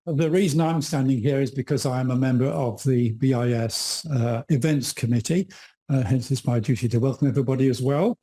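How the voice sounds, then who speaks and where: A man with a slightly hoarse voice from a cold, giving a formal welcome and introducing the evening's speaker, with frequent fillers. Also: mid-range voice, brisk, mellow. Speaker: event host introducing the speaker.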